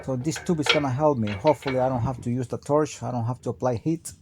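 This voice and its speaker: A man's voice: mid-range voice, quick pace, very noisy. A man giving a hands-on auto repair tutorial, explaining each step in a plain, practical way. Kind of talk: hands-on how-to narration.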